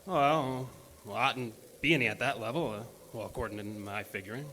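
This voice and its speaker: A man's voice: medium pitch, normal speed, sharp tone, lightly acted. An actor playing a jittery, weary oil-field geologist in a horror audio drama, hesitant and repeating his words. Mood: uneasy, puzzled. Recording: noisy track with ambient sound.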